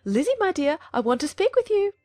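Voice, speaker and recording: Shrill, excitable fussing mother. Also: brisk, soft background music. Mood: insistent, coaxing. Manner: shrill, urgent exclamations and pet names. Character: fussy, excitable middle-aged mother, bossy and scheming. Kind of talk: dramatized audiobook of a classic novel.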